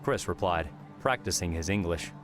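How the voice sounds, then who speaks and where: A man narrating an audiobook in a measured, steady reading. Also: low voice. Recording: narration over background music. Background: music.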